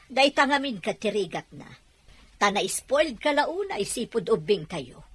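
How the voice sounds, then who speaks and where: A woman's voice: a little high, big pitch swings, moderate pace, slightly rough. A woman playing a stern elder sister in an audio drama, nagging and reproachful. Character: reproachful elder sister scolding a spoiled sibling.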